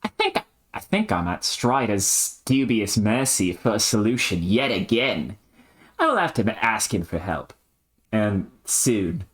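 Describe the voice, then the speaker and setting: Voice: mid-range voice, sing-song, measured pace. A reader voicing an earnest young adventurous man in an attempted Australian accent, with hammy, quaint exclamations. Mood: resigned.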